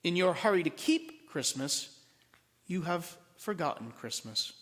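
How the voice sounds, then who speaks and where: A solemn old keeper of the flame, voiced by a man reading a storybook, speaks in a stately, kindly way. The pitch moves widely and the words ring through a reverberant hall. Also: very animated, unhurried, fairly bright tone.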